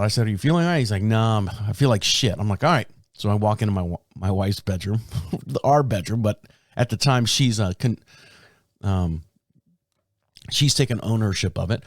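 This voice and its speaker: A man hosting his own podcast in casual talk, a bit raspy from a sore throat, rambling and restarting his sentences. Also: dry recording, clean studio sound. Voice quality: deep. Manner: rambling, self-interrupting storyteller. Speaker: podcast host. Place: small podcast studio. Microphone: studio microphone.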